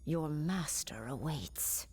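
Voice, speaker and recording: A woman's voice: low-pitched, expressive, a little hiss or hum. A woman playing a menacing, imperious alien warrior villain in a video game cutscene. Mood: cold menace. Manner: imperious, slow, threatening. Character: menacing female alien warrior villain serving a dark master. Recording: loud music under the voice. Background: music.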